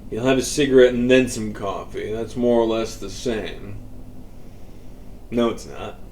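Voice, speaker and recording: A man's voice: lowish voice, measured pace, darkish tone, a little hiss or hum. A man reading a scripted story aloud in an informal, profane storytelling manner. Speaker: author reading own story. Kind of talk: scripted narration, audiobook-style fiction reading.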